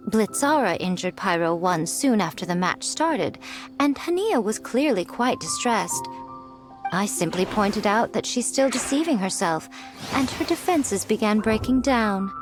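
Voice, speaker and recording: A woman's voice: on the high side, sing-song, a little slow, over music. A woman voicing a sly, elegant, self-assured fox lady in a video game, teasing and condescending with poised phrasing. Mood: amused.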